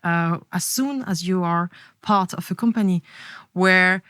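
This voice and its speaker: A woman with a French accent, a podcast guest speaking in short, halting phrases. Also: lowish voice, a bit bright, smooth, dry recording, studio quality. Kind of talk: conversational interview.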